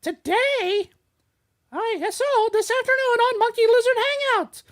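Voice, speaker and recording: A woman's voice: very high voice, unhurried. A woman from New York co-hosting a podcast, joking around and stumbling through read-out network promos. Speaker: podcast co-host reading network news. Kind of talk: casual podcast chat. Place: indoor studio with teleprompter.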